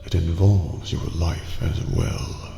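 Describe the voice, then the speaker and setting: A man playing a courteous, eerie old master of a dreamlike prison in an ASMR roleplay, speaking formally and ominously in a gravelly near-whisper. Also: deep voice, flat delivery, slow, dark tone, full character voice. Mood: ominous, solemn. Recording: echoey hall reverb with background music.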